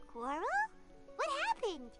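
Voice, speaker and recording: A woman voicing a tiny, childlike, chirpy and blunt companion creature in an animated video game. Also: high voice, unhurried, heavily acted. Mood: puzzled. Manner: refers to self in third person. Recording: music behind the voice.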